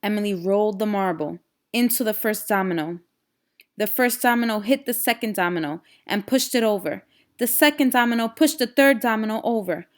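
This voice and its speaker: A woman's voice: medium pitch, fairly quick. A woman teacher reading a children's storybook aloud expressively and putting simple questions to young listeners. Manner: expressive, instructional, addresses young listeners directly.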